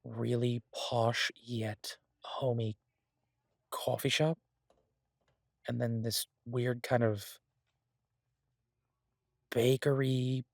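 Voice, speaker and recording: A man playing a laid-back, idealistic chef in an audio roleplay, talking casually with hesitant pauses and mild swearing. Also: medium pitch, flat delivery, creaky, no echo, studio quality. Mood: quietly enthusiastic. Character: laid-back, idealistic male chef, devoted to honest food. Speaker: chef and restaurant owner character in an audio roleplay. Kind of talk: intimate conversational audio roleplay.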